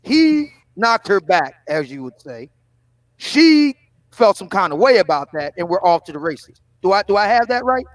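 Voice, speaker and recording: A man in his fifties with a distinct Philadelphia accent hosts a casual online panel, telling stories and steering the talk with questions. His voice sits a little high for a man. The sound is dry and close. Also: very animated, moderate pace, no room sound. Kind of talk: casual livestream chat.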